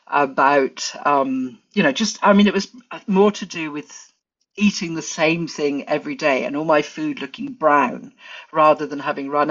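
Thoughtful English woman, dull lo-fi sound. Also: lowish voice. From England. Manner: thoughtful, many fillers and restarts. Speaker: guest forager. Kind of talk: casual interview chat.

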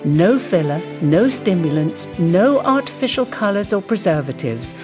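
Woman reading a radio ad, lowish voice, peppy and selling it, music behind. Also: sing-song, moderate pace. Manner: upbeat, persuasive read. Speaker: advert voice-over. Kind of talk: scripted radio advert.

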